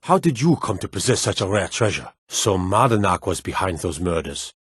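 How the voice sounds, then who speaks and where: An actor playing a gruff town guard in a fantasy video game, speaking in terse, emphatic, gossipy remarks. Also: male voice, deep voice, sing-song, moderate pace, fairly bright tone, no room sound, studio quality, exaggerated voice. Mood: wary, gossiping. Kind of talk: fantasy game character barks.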